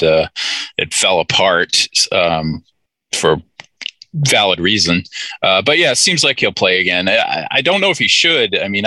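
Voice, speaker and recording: A man talking football on a podcast in a halting way with many fillers. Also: low voice, sharp tone, dry recording. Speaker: podcast co-host. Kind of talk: casual sports chat.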